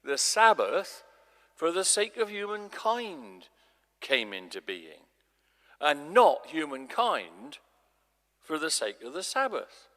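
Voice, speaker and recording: A man playing an authoritative male religious teacher in a live dramatic scripture reading, declaiming in measured, emphatic phrases. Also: fairly high pitch, wildly swooping pitch, pushed and bright, exaggerated voice. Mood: challenging. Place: large church, live performance. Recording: echoey church, long reverb.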